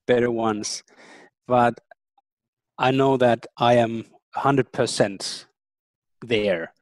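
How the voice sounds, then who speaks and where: A man in his thirties, a native German speaker, giving earnest, thoughtful interview answers with frequent pauses and ums. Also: mid-range voice, dry recording, rough recording. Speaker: interview guest.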